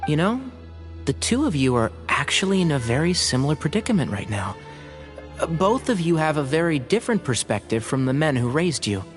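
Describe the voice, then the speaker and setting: An actor playing a calm, gently serious physician and scholar in a video game, speaking thoughtfully and politely. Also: male voice, on the high side, brisk, faint music behind.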